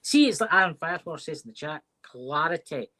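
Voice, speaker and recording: A woman hosting a relaxed live-stream chat, with a very low voice that still moves a lot in pitch. She teases in a dry, deadpan way. A crowd murmurs clearly behind her. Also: brisk. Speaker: podcast host.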